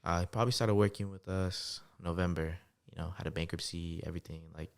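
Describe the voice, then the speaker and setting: A man in his twenties, a guest entrepreneur, telling stories in a relaxed, casual podcast interview with lots of fillers. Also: sits low, quick pace, smooth. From United States, Salvadoran family. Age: 20s. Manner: relaxed storytelling with many fillers.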